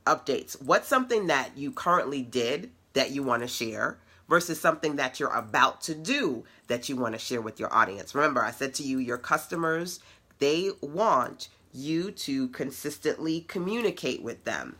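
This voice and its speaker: A middle-aged woman with a deep voice, hosting a casual online web class and encouraging her audience in a conversational, playful way. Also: lively intonation, moderate pace, fairly bright tone. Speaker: web class host and business coach.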